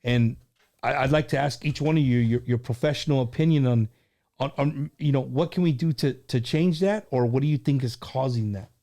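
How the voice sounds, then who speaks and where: A man hosting a casual interview show, talking in a halting, repetitive way with loose swearing. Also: medium pitch, warm tone. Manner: halting, repeats words, profane. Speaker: show host.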